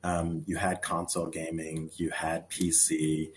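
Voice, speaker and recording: A man with a very low voice answers on a conference panel, laying out his view in a measured, explanatory way. Faint noise sits behind him. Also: monotone.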